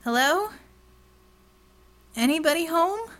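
A woman voicing a sarcastic, easily exasperated woman detective in an audiobook, with a wry and animated delivery. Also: very high-pitched, slow, voice pushed up, heavily acted. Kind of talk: audiobook character dialogue.

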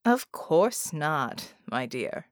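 A woman narrator puts on a sly male impostor for an audiobook. He is smooth, familiar and casually teasing. The voice sits a little low, with very varied pitch and a dry sound. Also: very animated, extremely slow, no echo, full character voice. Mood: measured, wary.

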